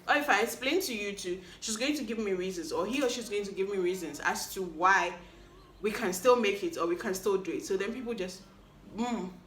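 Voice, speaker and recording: A woman's voice: mid-range voice, a bit bright. A woman, hosting a solo podcast recap in a playful, chatty way that teases the listener. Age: 20s. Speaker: podcast host. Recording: echoey room.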